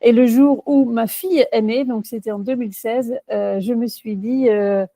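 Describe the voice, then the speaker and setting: A woman's voice: on the high side, steady tone, normal speed, mellow, no room sound. Dutch woman, hesitant interview answers. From Netherlands. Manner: hesitant, many fillers and restarts. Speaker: career coach.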